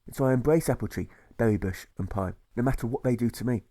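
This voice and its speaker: A man voicing a serene, wise boy in a storybook fable, with calm, instructive phrasing. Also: medium pitch, fast, natural voice.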